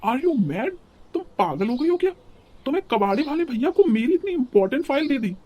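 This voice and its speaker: A woman's voice: high-pitched, very animated, a little fast, dull audio. A woman playing an exasperated, hot-tempered young city husband in a cartoon, scolding and impatient. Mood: outraged. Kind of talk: cartoon story dialogue.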